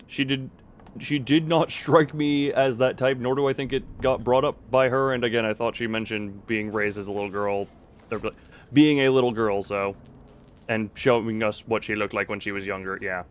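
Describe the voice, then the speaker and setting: A man livestreaming a game, chatting casually in a halting, rambling way as he thinks aloud. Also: mid-range voice, steady tone. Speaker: livestream host. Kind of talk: casual chat while playing a horror game live. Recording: clear background noise.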